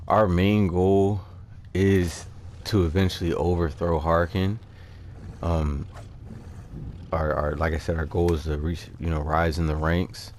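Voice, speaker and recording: A man with a mellow, very low voice hosts a gameplay video in a slow drawl. His delivery is casual and slangy, laid-back throughout, with faint noise behind him. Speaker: gaming host.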